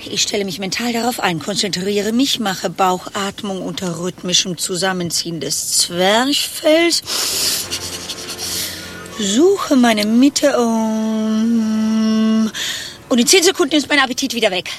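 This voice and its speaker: An actress playing a catty, self-possessed secretary in a satirical radio play, speaking in a theatrical, smug manner. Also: female voice, a little high, slow pace, soft background music. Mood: strained composure.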